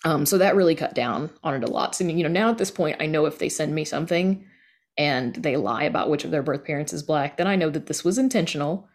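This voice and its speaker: A woman's voice: low voice, fast talker. A woman giving casual, candid and emphatic answers as an interview guest on a podcast.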